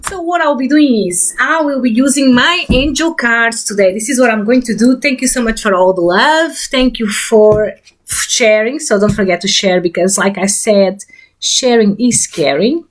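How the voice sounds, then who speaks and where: Portuguese-accented woman, a psychic medium hosting a live stream, chatty, fairly high voice. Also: normal speed. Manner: chatty, greets viewers by name, repeats catchphrases. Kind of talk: casual live-stream chat.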